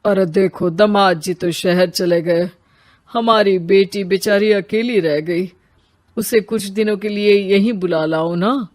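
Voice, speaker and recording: A woman's voice: mid-range voice, a little slow, no room sound, studio quality, close to an everyday voice. A woman voicing a pushy middle-aged village mother in a cartoon story, dramatic and pleading. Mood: worried.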